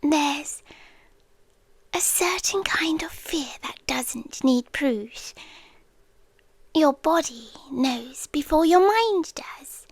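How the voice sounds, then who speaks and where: A British woman playing an obsessive, teasing yandere girlfriend in a whispered, breathy ASMR roleplay with long pauses. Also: high voice, no echo. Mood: hushed, menacing.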